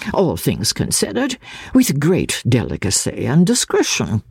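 A woman voicing an elderly gentleman lawyer who narrates a classic novel in a formal, deliberate, self-assured manner. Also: a bass voice, creaky, husky, no echo, heavily acted. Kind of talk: first-person literary narration.

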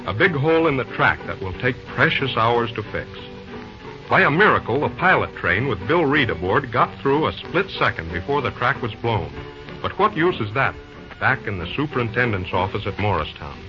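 A man's voice: medium pitch, very animated, moderate pace. A man narrating a radio serial as its announcer, in a scripted, dramatic, declamatory style. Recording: muffled old-time radio with music. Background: music.

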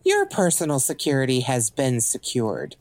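A woman's voice: rumbling low, wildly swooping pitch, moderate pace, darkish tone, no room sound, heavily acted. A woman acting in an audio drama as a cocky legendary assassin and thief for hire, with smug, theatrical, drawling taunts. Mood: smug, dry.

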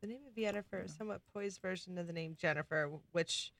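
Woman, bright tone, dry recording with little room, casual podcast co-host. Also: fairly low pitch, quick pace, gravelly, no echo. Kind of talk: casual chat.

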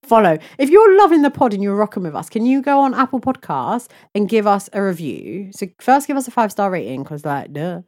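A woman's voice: medium pitch, big pitch swings, fairly quick, hardly any pauses, a little mellow. A woman co-hosting a podcast in casual, chatty conversation, talking informally with plenty of slang. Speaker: podcast co-host. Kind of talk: casual chat.

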